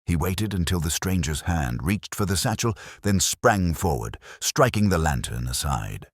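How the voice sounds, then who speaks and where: A man with an extremely low, husky voice narrates a dramatic mystery. His delivery is solemn and suspenseful, with lively rises and falls in pitch. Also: moderate pace, dry recording, studio quality. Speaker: audiobook narrator.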